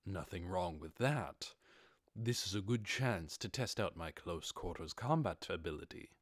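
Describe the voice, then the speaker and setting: A man's voice: low voice, expressive, measured pace, dry recording, voice pushed down. A man voicing a cool, calculating undead warrior lord in armor for an audiobook, terse and dry. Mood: calm, calculating.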